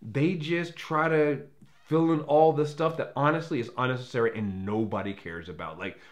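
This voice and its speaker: A man's voice: mid-range voice, normal speed. Male film reviewer, casual and unscripted, opinionated, emphatic delivery, mid-range voice, freely profane.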